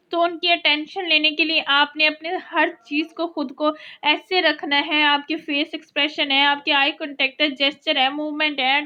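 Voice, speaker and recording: A woman's voice: high voice, bright, forceful tone, highs cut off. A woman lecturer explaining a course topic step by step, repeating her points and mixing in English terms. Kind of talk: recorded university lecture.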